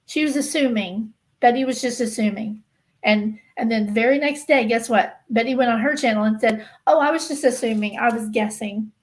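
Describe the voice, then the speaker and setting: A woman with a slightly high voice chats casually as the host of a livestream. She speaks directly to her viewers in an emphatic, insistent way, often restating a point to stress it. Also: moderate pace, poor audio. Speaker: livestream host of a true-crime gossip channel.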